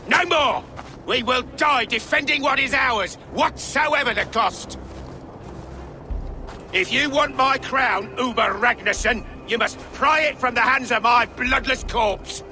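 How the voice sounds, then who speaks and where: Proud king, high, piercing voice. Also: unhurried, sharp tone, slight background noise, full character voice. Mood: defiant. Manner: imperious, archaic, declamatory. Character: proud, defiant, embattled medieval king. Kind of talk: theatrical video game acting.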